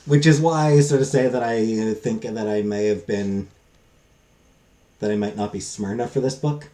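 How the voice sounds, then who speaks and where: A man giving a casual, unscripted book review in a halting, rambling, self-deprecating way. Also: on the low side, dark tone.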